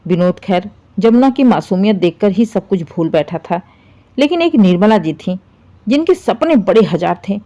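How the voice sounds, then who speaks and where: A woman narrating a scripted family story in an expressive, dramatic and sympathetic way. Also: mid-range voice, lo-fi sound.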